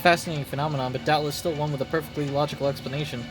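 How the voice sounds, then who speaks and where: A man voicing an analytical gentleman detective in a game, speaking formally and at length. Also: a little high, steady tone, music in the background, close to an everyday voice. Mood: detached. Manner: formal, measured, long-winded.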